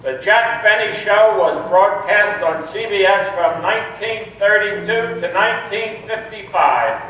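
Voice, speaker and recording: Bright, high-pitched male announcer in echoey hall. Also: a little slow. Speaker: announcer and narrator of a stage show. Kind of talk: scripted nostalgic radio-show narration. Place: echoey hall, far from the microphone. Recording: distant, very echoey stage, faint noise.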